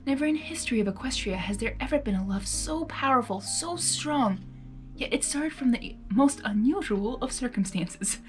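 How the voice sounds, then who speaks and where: A woman's voice: a little high, expressive, normal speed, natural voice. A woman voicing a solemn, regal female alicorn pony in a formal, ceremonious manner, in a story reading. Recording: music behind the voice.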